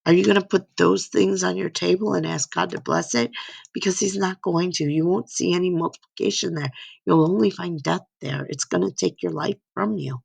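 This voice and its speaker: An older woman speaks in a hoarse, gravelly voice with a husky edge. She gives a rambling, earnest faith-based motivational talk and keeps checking in with "right?" and "you know?". The sound is dry and close. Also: fairly low pitch, brisk, darkish tone, no echo. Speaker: speaker giving a slide talk.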